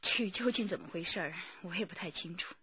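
A poised businesswoman in a radio drama, careful and guarded. The voice is very breathy with a sharp edge, in a dry, slightly dull recording. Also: on the low side, quick pace, dry recording, heavily acted.

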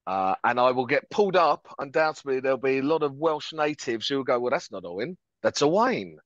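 A man's voice: mid-range voice, big pitch swings, a little fast, no echo. A man, a guest chatting upbeat and casually on a podcast over a headset video call. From France. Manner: upbeat, chatty, self-deprecating humour. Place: home office, video call. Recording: dull, slightly lo-fi call audio.

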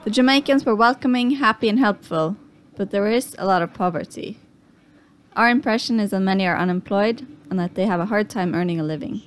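A woman narrating a sailing vlog in a calm, scripted voice-over. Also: medium pitch, a little fast.

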